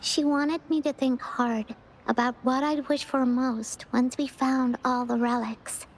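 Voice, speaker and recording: A woman's voice: high voice, fairly even intonation, a little slow, slight background noise, poor audio. A woman voicing a hopeful but sad little girl in a video game, speaking in a hesitant, wistful way. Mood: wistful, earnest.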